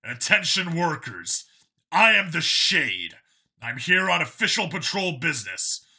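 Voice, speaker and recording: A man playing a calm, commanding human cop in a science fiction audiobook, curt, dry and authoritative. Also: fairly high pitch, unhurried, gravelly, breathy edge, pitched above the performer's own voice, exaggerated voice. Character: human male alien-world cop, calm, commanding, dryly confident. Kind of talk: audiobook character voice.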